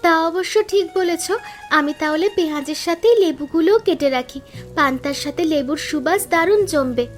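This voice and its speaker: A woman voicing an earnest young village daughter, hesitant but helpful, in an animated cartoon story. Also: sits high, moderate pace, faint music behind, natural voice. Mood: eager.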